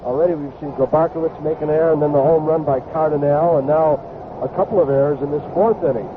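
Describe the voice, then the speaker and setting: A man's voice: a little high, fairly even intonation, quick pace. American guy doing baseball color commentary, chatty analysis, thin hissy seventies radio sound. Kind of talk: conversational radio game analysis. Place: ballpark broadcast booth. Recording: noisy, tinny 1970s AM radio broadcast.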